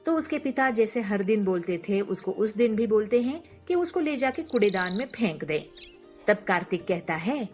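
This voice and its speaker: A woman's voice: medium pitch, lively intonation, moderate pace, a little mellow. A woman narrating a scripted moral story. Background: faint music.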